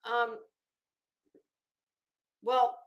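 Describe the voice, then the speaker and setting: A woman's voice: on the high side, little pitch movement, drawn-out words, roomy sound, lo-fi sound. A woman hosting a live stream, reading aloud dramatically with mocking, drily sardonic commentary.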